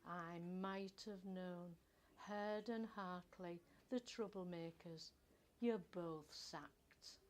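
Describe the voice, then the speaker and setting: A woman's voice: lowish voice, very slow, darkish tone, slight background noise. A woman reading a story plays a stern, accusing male office boss, emphatic and pompous. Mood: outraged.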